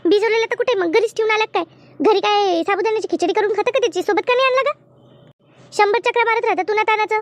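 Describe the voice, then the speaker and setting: A woman voicing a bossy, brisk village woman who leads her friends in a comedy cartoon, emphatic and scolding. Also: extremely high pitch, no room sound. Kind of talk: colloquial comedy cartoon dialogue.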